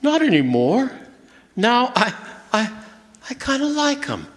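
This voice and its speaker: A man's voice: very high-pitched, huge pitch swings, unhurried, heavily acted. An actor playing a grief-stricken, embittered night driver in a radio drama, stammering and repeating his words. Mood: anguished, wondering. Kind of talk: stage radio drama acting. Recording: live stage performance, long hall reverb.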